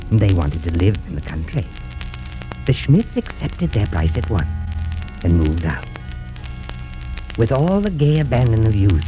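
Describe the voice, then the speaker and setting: An actor playing a gleefully sinister storyteller in 1930s radio horror, with a theatrical, drawn-out delivery that relishes the macabre. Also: male voice, lowish voice, muffled recording. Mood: wry, storybook calm. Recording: old band-limited 1930s radio recording.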